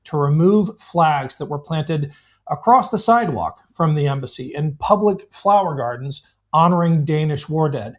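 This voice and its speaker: Man, veteran guest, earnest, emphatic, higher-pitched voice. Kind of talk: live remote interview.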